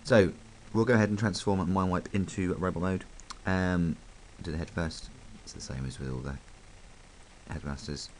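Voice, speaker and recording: A man with a croaky voice from hay fever, chatting casually and rambling a little as he reviews a toy. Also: deep voice, moderate pace, darkish tone, a little hiss or hum, low-quality recording. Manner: chatty, rambling, full of ums.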